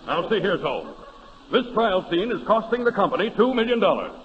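A bossy, exasperated Hollywood director from a 1930s radio comedy. The voice is high and swings widely, on a muffled old recording. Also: male voice, sing-song, brisk, big-room sound, a little hiss or hum. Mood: bossy, impatient.

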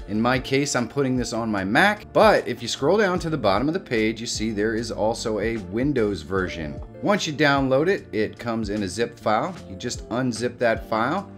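American guy in middle age walking thru software, casual, normal pitch, faint music behind. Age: middle-aged. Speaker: tutorial host. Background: light background music.

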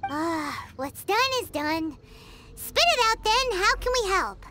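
A woman voicing a tiny, childlike fairy girl in a video game, squeaky, cheeky and blunt. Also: big pitch swings, takes it slowly, heavy background noise, exaggerated voice. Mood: exasperated. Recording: loud music over the dialogue.

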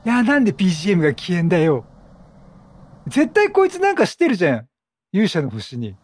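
A man doing excitable, chatty game commentary, reacting aloud and bantering with the characters. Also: high-pitched, fast talker, slightly rough. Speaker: gaming streamer, let's play host.

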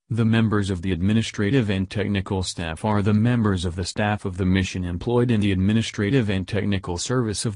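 A man reads a legal text aloud at an even, measured pace, clause by clause. His voice sits on the lower side. The recording is dry and close, with no room echo. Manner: steady, measured, enunciating each clause.

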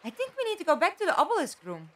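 A woman's voice: extremely high pitch, wildly swooping pitch, racing, a bit bright, exaggerated voice. A woman acting a composed, logical amnesiac captive in a fan-made audio drama, with dry, formal wording. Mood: questioning.

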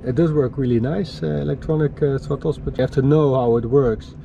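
A man's voice: medium pitch, fairly even intonation, a little fast, lo-fi sound. A man giving an informal explanatory walkaround as a boat owner, with somewhat hesitant, pieced-together phrasing. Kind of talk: informal explanatory tour commentary. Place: aboard a sailing catamaran in a marina, in bow compartments and on deck. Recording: clear outdoor and engine-like background noise.

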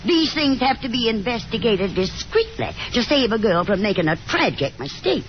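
A woman's voice: a little high, big pitch swings, moderate pace. An actress playing a fussy, talkative, hopeful middle-aged mother in a 1950s radio drama, with a dramatic, insistent manner. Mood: anxious, indignant. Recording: dull, noisy 1950s radio broadcast.

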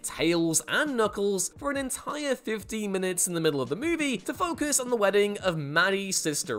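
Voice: medium pitch, fairly bright tone. A presenter giving scripted countdown commentary in an informal, emphatic and opinionated manner. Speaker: presenter of a film list show.

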